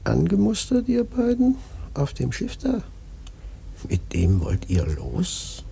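An actor playing a ragged, half-mad sailor prophet in a radio play, raspy and creaky, taunting and cryptic. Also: male voice, sits high, huge pitch swings, takes it slowly, warm tone, exaggerated voice. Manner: taunting, cryptic, erratic muttering. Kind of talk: German radio play acting.

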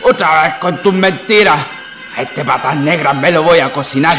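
A man's voice: fairly high pitch, moderate pace, over music, natural voice. A man playing a furious, jealous husband with a Chilean accent in a radio drama, threatening and spoiling for a fight.

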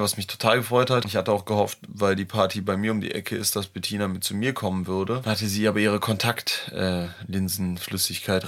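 A man recording a halting voice diary while in pain, sounding subdued and lonely. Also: lowish voice, fairly bright tone. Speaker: audio diary speaker. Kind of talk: confessional voice diary.

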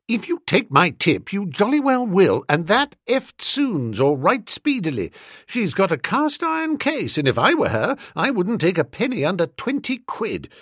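A male reader plays a young English toff, foppish and breezy, in a comic storybook. His posh British voice rides high and leaps about with blustering emphasis, close and dry. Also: huge pitch swings, moderate pace, a bit bright, slightly rough, no echo, exaggerated voice. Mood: stern, indignant. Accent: British upper-class.

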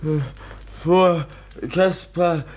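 A man's voice: sits high, flat delivery, darkish tone. An actor playing a timid teenage foundling boy in a 1950 radio play, speaking in broken, stammering, childlike phrases. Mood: halting, excited. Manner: halting, broken, simple childlike speech with stammers. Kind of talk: 1950 German radio drama acting.

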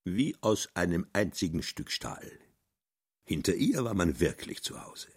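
A man's voice: sits low, sing-song, measured pace, breathy edge, exaggerated voice. An actor playing a brooding man who murmurs his inner thoughts in a radio play.

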